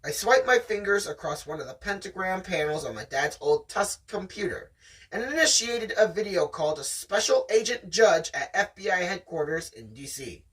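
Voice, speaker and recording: Lively, husky storytime reader, slightly distant. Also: medium pitch, moderate pace. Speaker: host reading a novel aloud. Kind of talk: amateur storytime reading with casual chat.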